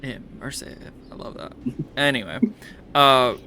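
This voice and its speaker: A man narrating a tabletop game as game master in a casual, halting way, often repeating words as he explains. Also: medium pitch, pushed and bright, a little hiss or hum.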